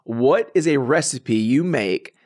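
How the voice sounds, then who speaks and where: A man's voice: medium pitch, animated, slow, a little mellow. A young man hosting a casual interview podcast, upbeat and chatty with lots of filler words. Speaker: podcast host.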